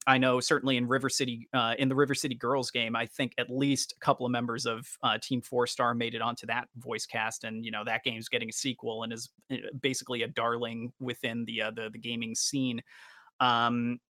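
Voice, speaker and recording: A man talks as a podcast co-host with a forward, bright voice and some gravelly fry. His delivery is enthusiastic but halting, stammering through long, wordy thoughts. The sound is dry and close. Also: medium pitch, dry recording. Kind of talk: casual podcast discussion.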